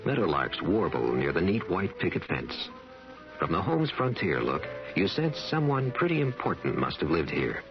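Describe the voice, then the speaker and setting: An American man with a deep, expressive voice narrates a radio travel ad like a storyteller. Music plays beneath, and the sound is muffled. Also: moderate pace. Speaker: commercial announcer. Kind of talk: scripted tourism radio commercial narration. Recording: muffled old radio broadcast, music underneath.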